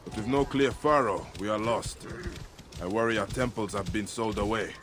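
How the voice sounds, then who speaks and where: A man's voice: fairly low pitch, moderate pace, natural voice. A man playing a grave, passionate assassin of ancient Egypt in a video game, with a solemn, intense delivery. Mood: grave, worried. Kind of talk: dramatic video game acting. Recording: loud music over the dialogue.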